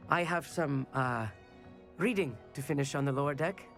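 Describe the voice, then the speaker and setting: A man's voice: a little high, moderate pace, creaky, a bit bright, soft background music. A man playing a friendly, bookish teenage astronomy buff, earnest and enthusiastic, in a video game. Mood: friendly, eager. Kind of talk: video game cutscene acting.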